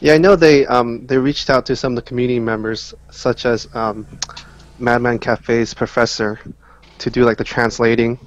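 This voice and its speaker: Male guest, mid-range voice, conversational and measured, pausing as he explains his thoughts. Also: medium pitch, steady tone, poor audio. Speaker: podcast guest. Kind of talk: casual chat.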